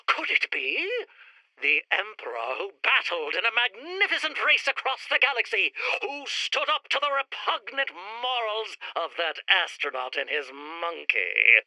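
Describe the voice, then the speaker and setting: High-pitched, wildly animated robot warlord. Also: male voice, measured pace, no echo, heavily acted, rough recording. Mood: awed excitement. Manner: grandiose, melodramatic, oblivious. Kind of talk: retro sci-fi radio serial acting.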